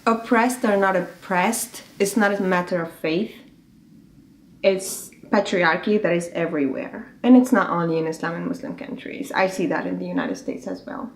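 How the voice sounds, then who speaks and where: Young woman, arabic native speaker, firm and argumentative, lowish voice, roomy echo. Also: moderate pace. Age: young adult. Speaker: student. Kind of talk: interview testimony. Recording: roomy room, faint ambient noise.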